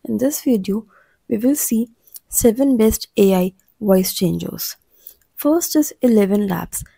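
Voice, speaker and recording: A woman reads a scripted explainer as a channel narrator, in a measured way that sets out lists item by item. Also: medium pitch, expressive, gravelly, dark tone, breathy edge, dry recording.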